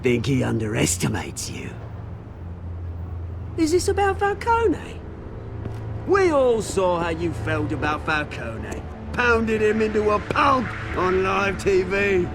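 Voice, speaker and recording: An actor playing a mocking, swaggering crime boss with a British accent in a video game. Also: male voice, sits high, gravelly, exaggerated voice. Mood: mocking, taunting. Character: mocking, theatrical male crime boss taunting a vigilante. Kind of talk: video game character acting.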